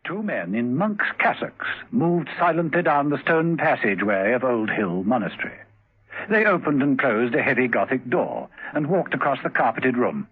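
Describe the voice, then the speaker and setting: A man's voice: medium pitch, breathy edge. A man narrating a 1970s radio adventure drama from a script. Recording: muffled old 1970s radio recording.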